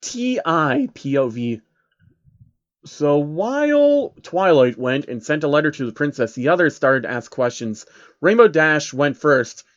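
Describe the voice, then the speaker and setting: A man's voice: fairly high pitch, sing-song, unhurried, dull audio. A man narrating an amateur story reading as the narrator of a piece of fan fiction.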